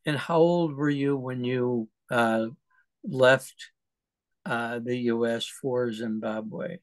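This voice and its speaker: A man's voice: mid-range voice, fairly even intonation, slow pace, no room sound. A man interviewing a guest in conversation, bluntly pushing back with short remarks.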